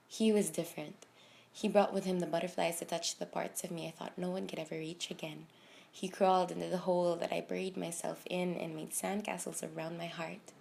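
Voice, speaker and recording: A woman in her early twenties performing dramatic spoken word poetry, with a deliberate, emotive delivery full of pauses and emphasis. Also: fairly low pitch, vocal fry, a little mellow, a little hiss or hum. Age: early 20s. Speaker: slam poet.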